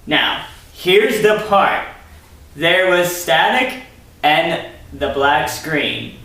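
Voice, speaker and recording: Bright-voiced sarcastic man in an echoey room. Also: on the high side, expressive, drawn-out words. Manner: sarcastic, theatrical. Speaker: storyteller and commentator. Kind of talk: dramatic story reading with mocking asides. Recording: very echoey room, distant mic.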